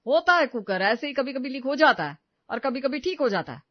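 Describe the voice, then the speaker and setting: A man's voice: very high-pitched, very animated, brisk, no echo. A man acts a self-absorbed, dismissive husband fixated on money, in an audio story. The voice is brusque, with a dry, slightly lo-fi sound. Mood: irritated. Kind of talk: dramatised dialogue in an audio story.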